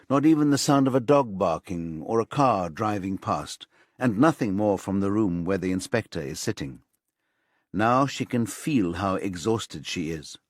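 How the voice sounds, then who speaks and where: A man reads a crime thriller audiobook in a low, husky voice. His delivery is measured and suspenseful, and the recording is dry and close. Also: dry recording. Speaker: audiobook narrator. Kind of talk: scripted thriller audiobook narration.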